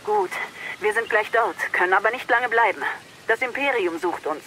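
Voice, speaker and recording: An actress playing an urgent, battle-worn resistance fighter in a game audio drama, brisk, over a radio link. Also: female voice, fairly high pitch, lively intonation, sharp tone, low-quality recording. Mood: urgent, weary. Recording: radio transmission with faint noise.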